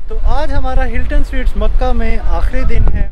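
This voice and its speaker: A man's voice: very high-pitched, fairly quick, over music. A man in his thirties with a Pakistani Urdu accent, chatting casually to a camera held at arm's length as he walks through an outdoor shopping plaza. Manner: chatty, breezy, talks straight to camera. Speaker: travel vlogger. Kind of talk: casual travel vlog chat. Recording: loud street noise outdoors.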